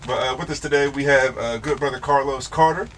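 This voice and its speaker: A man's voice: mid-range voice, steady tone, fast, a bit bright, a little hiss or hum. A man hosting a casual interview show, easygoing and slangy, speaking into a studio mic in a small studio. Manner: laid-back, slangy, easygoing. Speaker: show host and DJ.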